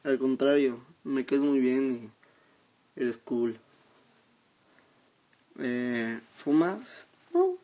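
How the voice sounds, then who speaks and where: Male, late teens, Mexican accent. Unhurried, laid-back live streamer with a lowish voice, halting and slangy. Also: big pitch swings, dark tone. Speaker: social media live streamer. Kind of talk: casual chat, reading out and answering viewer comments. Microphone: phone at arm's length.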